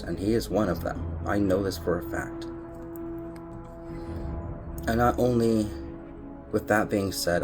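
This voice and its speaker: A man delivering an earnest spiritual monologue in a solemn, deliberate manner, leaning on repeated words for emphasis. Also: deep voice. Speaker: spiritual content creator speaking to followers. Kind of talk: earnest spoken monologue on personal spiritual beliefs. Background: music.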